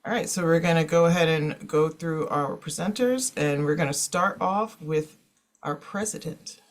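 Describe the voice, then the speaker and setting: A woman's voice: sits low, normal speed. A woman who works as a librarian, moderating an online panel and introducing it in an informal, conversational way. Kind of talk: informal webinar hosting.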